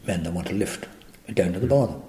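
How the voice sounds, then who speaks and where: An older man with an Irish accent, answering interview questions in a halting, reflective way with many restarts. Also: deep voice, expressive, mellow. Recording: echoey room.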